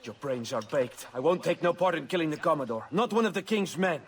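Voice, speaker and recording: A man's voice: on the high side, animated, brisk, crowd noise, lightly acted. An actor playing an alarmed, stubborn pirate crewman in a video game, blunt and agitated. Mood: alarmed refusal. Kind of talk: dramatic video game acting.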